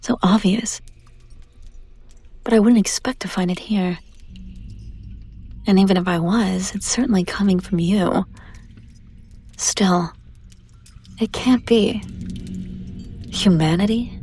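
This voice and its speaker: A woman playing a seductive, domineering demon in an intimate audio roleplay, teasing and condescending, often slipping into breathy whispers. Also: medium pitch, takes it slowly, long pauses, mellow, faint music behind. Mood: musing, puzzled. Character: seductive, domineering female demon buyer taunting a captive angel. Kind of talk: intimate scripted audio roleplay addressed to the listener.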